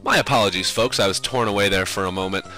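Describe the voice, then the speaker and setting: A man's voice: deep voice, fast, pushed and bright, faint music behind. A young man with a cold, sounding sinusy, casually rambling through let's play commentary with lots of fillers. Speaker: game commentator.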